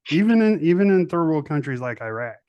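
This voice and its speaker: A man's voice: a little high, big pitch swings, fairly quick, clear, resonant tone, dry recording, highs cut off. A middle-aged man hosting a podcast in casual interview chat, talking enthusiastically and repeating words for emphasis. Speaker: podcast host.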